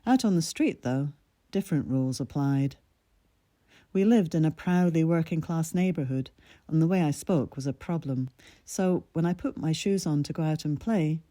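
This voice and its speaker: A woman in her sixties from Scotland, with a mid-Atlantic accent carrying a Scottish lilt, reading her own personal essay in a measured, reflective way. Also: deep voice, warm tone, no echo, clean studio sound. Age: 60s.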